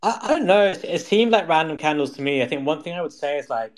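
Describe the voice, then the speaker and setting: A man's voice: a little high, expressive, fast talker. Man giving casual, hedging market commentary.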